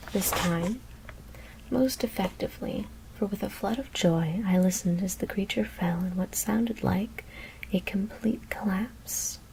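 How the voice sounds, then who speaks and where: A woman whispers a scary story in a hushed, deliberate ASMR narration. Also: fairly low pitch, background noise.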